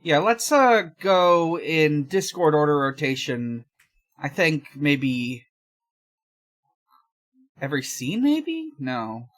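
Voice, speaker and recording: Slow, hesitant podcast host. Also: medium pitch, sing-song. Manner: hesitant, halting. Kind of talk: casual chat and fanfiction read-aloud.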